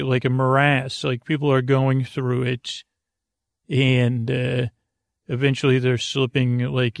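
A man hosting a sleep podcast in a whimsical, meandering monologue, halting often with false starts and drifting tangents. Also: mid-range voice, gravelly, dry recording, studio quality. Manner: playful one-sided chat with an imaginary companion. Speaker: podcast host.

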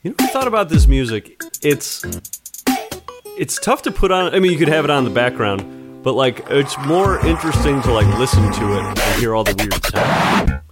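A man's voice: mid-range voice, big pitch swings, moderate pace. A man co-hosting a podcast, bantering casually about music with wry, joking remarks. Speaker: podcast co-host.

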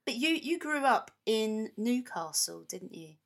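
A woman's voice: fairly high pitch, very animated, a little slow. Chatty woman interviewer. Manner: chatty and encouraging, with short reactions. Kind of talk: casual interview chat.

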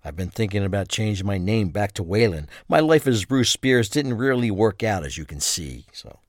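A man's voice: fairly low pitch, lively intonation, moderate pace, no echo, clean studio sound. A man playing a bitter, resigned middle-aged killer in prison, in a crime audio drama. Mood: bitter resignation. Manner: resigned, indifferent.

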